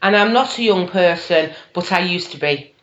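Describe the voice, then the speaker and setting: A woman's voice: lowish voice, normal speed, background noise. 40s woman, emphatic, agrees a lot, group chat, echoey room, muffled lo-fi audio. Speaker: podcast participant. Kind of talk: casual group discussion. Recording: roomy, dull lo-fi recording.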